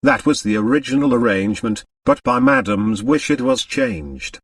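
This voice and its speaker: A man's voice: medium pitch, huge pitch swings, normal speed, gravelly, breathy edge, no echo, far from the mic, heavily acted. A man voicing a haughty, suave French aristocrat with a formal manner in an audiobook. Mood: frank, smooth. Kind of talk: audiobook character dialogue.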